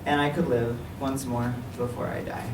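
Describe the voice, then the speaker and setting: A man giving a measured, solemn reading of a literary piece before a live event. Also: mid-range voice, little pitch movement. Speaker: writer reading own work. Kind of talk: literary reading, dramatic monologue in verse. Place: public reading in a library room. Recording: echoey room, distant mic, clear noise.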